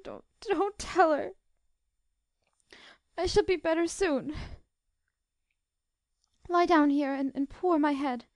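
A woman reading an audiobook as a gentle, timid young girl who is tearful and suffering, speaking in a halting, pleading way. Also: sits high, no echo, clean studio sound, pitched above the performer's own voice. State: tearful, sobbing. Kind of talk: audiobook character dialogue.

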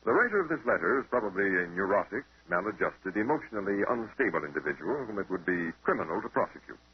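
An actor playing a pedantic middle-aged psychiatrist in a 1930s radio drama, formal and evasive, on an old broadcast recording. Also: male voice, low-pitched, very animated, quick pace, lo-fi sound, a little hiss or hum. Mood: lecturing, dismissive.

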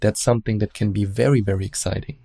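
A man with a German accent, a relationship coach giving measured advice as an interview guest, often hedging with "let's say". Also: lowish voice, fairly even intonation, gravelly, warm tone, no echo, studio quality. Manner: explanatory, measured, hedges with "let's say".

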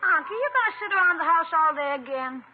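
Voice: very high-pitched, lively intonation, quick pace, loud music behind the voice. A performer playing a loyal, eager young nephew in a 1950s radio comedy, with earnest, slangy boyish talk. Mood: concerned. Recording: narrow-band, hissy 1950s radio recording.

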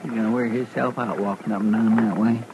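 A man's voice: on the low side, fairly even intonation, fast, highs cut off, natural voice. A man acting in a 1950s radio western as a folksy, deferential deputy sidekick with a fretful, drawling manner. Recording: noisy vintage radio recording.

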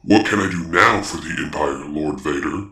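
A man's voice: extremely low pitch, normal speed, pushed and bright. A man voicing a massive, haughty alien crime lord in a fan-made space fantasy audio drama, boastful and taunting. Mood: haughty displeasure. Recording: roomy echo, clear background noise.

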